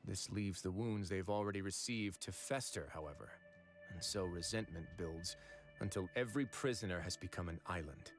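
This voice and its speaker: A composed prison-fortress administrator speaks in a lowish, steady voice. He explains things in a measured, even way and sounds unhurried. Faint game music plays behind him. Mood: explaining. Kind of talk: video game voice acting.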